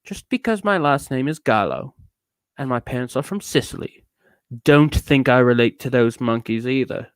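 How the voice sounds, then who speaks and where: A man's voice: medium pitch, normal speed, clean tone, no room sound, studio quality, lightly acted. A man voicing a bitter, neurotic ranter in a theatrical dramatic reading, with a put-on accent that shifts around. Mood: cranky storytelling. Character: edgy, bitter, ranting neurotic man telling a travelogue. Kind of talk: dramatic reading of a rambling rant.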